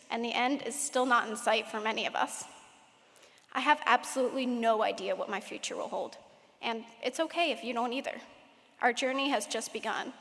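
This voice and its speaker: A teenage girl giving a prepared valedictorian speech at a graduation, measured and lightly humorous. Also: on the high side, a bit bright. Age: late teens. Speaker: valedictorian speaker. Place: large hall at a graduation ceremony. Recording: echoey hall with long reverb.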